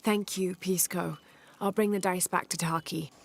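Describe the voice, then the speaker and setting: A woman's voice: lowish voice, steady tone, normal speed, vocal fry, slight background noise. A woman playing a thoughtful, courteous young adventurer in a video game cutscene, reserved and sincere. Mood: reflective. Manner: reserved, sincere, brief answers. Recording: music under the voice.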